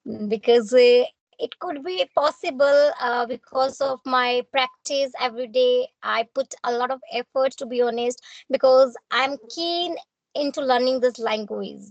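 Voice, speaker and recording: A woman's voice: high-pitched, unhurried, no room sound, low-quality recording. A young woman with a North Indian accent and Hindi as her first language, speaking English in a formal, courteous, earnest way in a practice conversation. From Punjab, India.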